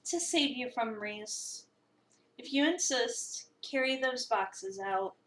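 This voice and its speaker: A woman voicing a gruff, curt, busy female cafe chef in a visual novel reading, deadpan and dismissive. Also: on the high side, slow pace. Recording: roomy, a bit far from the mic.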